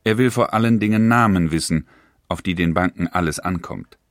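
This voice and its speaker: A wary elder industrialist answering by letter. His very low voice stays measured and steady. It is recorded dry and close. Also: no room sound. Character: cautious older German industrialist brother writing letters. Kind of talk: reading historical letters in a radio feature.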